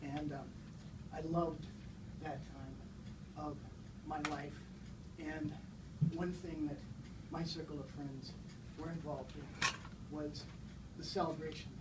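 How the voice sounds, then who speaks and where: A man in his sixties is heard from some distance, over clear room noise. He talks very slowly and haltingly, in the informal way of a guest introducing and reading a children's storybook aloud. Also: fairly high pitch. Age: 60s. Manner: halting, unhurried. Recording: distant mic, noisy room ambience.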